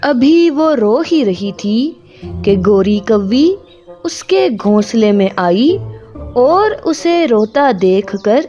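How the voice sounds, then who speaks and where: Lady narrating a kids cartoon tale, really slow n expressive, music in the back. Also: a little high, highs cut off. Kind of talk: scripted storytelling for a children's cartoon.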